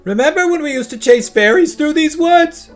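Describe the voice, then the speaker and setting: Male narrator as mischievous young boy, high voice, lively swoops, taunting, children's audiobook. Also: normal speed, soft background music. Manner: teasing, goading.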